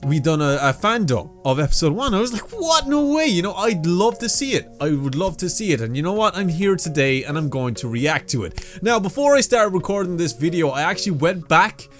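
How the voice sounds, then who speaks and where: A man with a slightly high voice talks as a reaction host, casual and chatty. He addresses his viewers informally, like mates. Faint music plays behind him. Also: big pitch swings, brisk, hardly any pauses.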